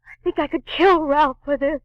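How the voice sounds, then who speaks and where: A woman plays a bitter, tough trapeze widow. Her voice is high, with cool, challenging, clipped replies. The 1940s radio recording is close, dry and muffled. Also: steady tone, normal speed, no room sound, natural voice. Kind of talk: 1940s radio noir acting.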